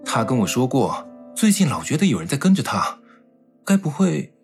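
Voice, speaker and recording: An actor playing a composed, considerate young man in an audio drama, measured and polite. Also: mid-range voice, wildly swooping pitch, soft background music. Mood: calm, thoughtful. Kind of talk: Mandarin audio drama acting.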